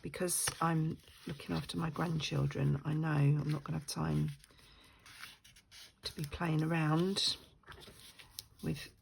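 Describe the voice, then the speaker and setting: An older woman with a croaky voice, chatting and thinking aloud as she works on a junk journal at her craft desk at home. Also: deep voice, flat delivery, measured pace, pauses often, noisy background. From United Kingdom.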